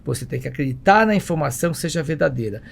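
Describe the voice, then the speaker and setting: A gravelly Brazilian man speaks at a quick clip on the higher side. He is an emphatic, jokey talker who repeats words for weight and asks rhetorical questions, as a business owner being interviewed. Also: expressive. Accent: Brazilian Portuguese. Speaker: travel agency entrepreneur, podcast guest. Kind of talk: animated conversational interview.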